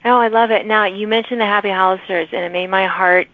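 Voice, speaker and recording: A woman's voice: mid-range voice, fairly even intonation, fast, no echo, phone-quality sound. A middle-aged woman hosting a casual conversational interview, effusive and affirming in how she talks. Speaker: podcast host interviewing an author.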